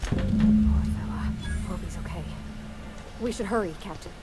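A woman's voice: lowish voice, measured pace, natural voice. A woman playing a kindhearted, earnest, folksy companion in a video game. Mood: concerned. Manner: gentle, folksy. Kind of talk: video game character dialogue. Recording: game music behind.

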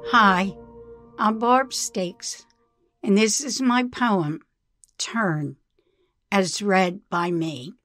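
A woman reciting her own poem with deliberate, measured phrasing. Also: medium pitch, fairly bright tone, soft background music. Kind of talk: poetry recitation.

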